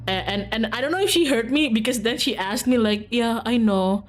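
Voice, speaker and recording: A woman's voice: a little high, expressive, fast. A woman streaming a game, reading aloud and commenting casually in an informal, giggly, self-interrupting way. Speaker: streamer playing and reading aloud a visual novel. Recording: music behind.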